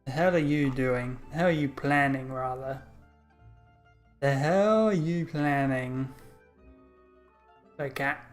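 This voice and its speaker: Woman doing a casual lets play, deep voice for a lady, mumbly reactions, faint music behind. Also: slow, pauses often. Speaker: streamer playing a video game. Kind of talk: casual playthrough commentary.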